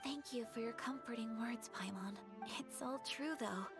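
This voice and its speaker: A woman voicing a gentle, reserved young noblewoman in a video game, with polite, formal, soft-spoken delivery. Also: a little high, normal speed, over loud music, lightly acted. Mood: shy, sincere. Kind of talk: animated game character acting.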